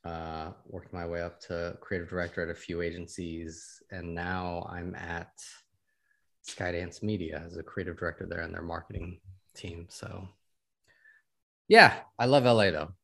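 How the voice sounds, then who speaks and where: A man's voice: sits low, fairly even intonation, unhurried, gravelly, a bit bright. A man in his thirties from California, a guest on a podcast, talking about his background in an easygoing way. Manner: easygoing, laughs often. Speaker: podcast guest, creative director. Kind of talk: casual interview chat.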